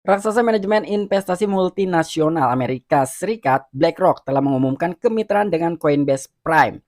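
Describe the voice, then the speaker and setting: Chatty man, slightly high voice. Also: animated, brisk, darkish tone, no room sound, studio quality. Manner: chatty, many fillers like "gitu ya" and "nih". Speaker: crypto YouTuber. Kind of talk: casual explainer reading and commenting on news.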